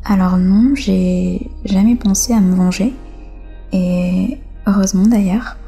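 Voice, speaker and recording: A woman from France speaks as an interview guest, sharing personal testimony. Her voice is gravelly with fry and stays fairly even. She phrases things reflectively, with hesitant pauses, over faint music. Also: medium pitch.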